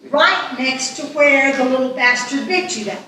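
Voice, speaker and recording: A woman playing a sharp-tongued, sarcastic elderly wife who nags fondly, at a live table read. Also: high-pitched, expressive, normal speed. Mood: scolding, teasing. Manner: salty, dry teasing. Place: live festival stage reading. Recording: echoey hall, distant microphone.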